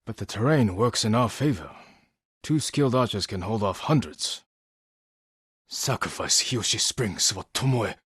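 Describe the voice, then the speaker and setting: An actor playing an honourable, stoic samurai warrior in a video game, speaking in a measured, solemn way with short, clipped sentences. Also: male voice, lowish voice, expressive, a bit bright, husky, no room sound, studio quality, exaggerated voice. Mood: calm, resolute. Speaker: video game lead character. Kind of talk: dramatic video game voice acting.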